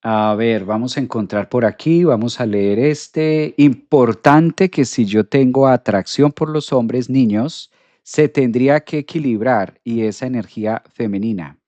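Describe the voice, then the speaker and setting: A man's voice: mid-range voice, a little slow, no echo. A man hosting a live astrology stream, talking animatedly to viewers, repeating phrases and reading out their comments. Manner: animated, repeats phrases, addresses audience directly.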